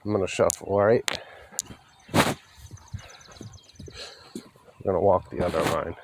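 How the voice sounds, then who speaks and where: Man, slow unhurried delivery, folksy and wry, rambling vlog style, outdoors with faint background noise. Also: sits low, steady tone. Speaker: vlogger. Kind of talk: rambling walking vlog monologue. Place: outdoors on a rural road while walking.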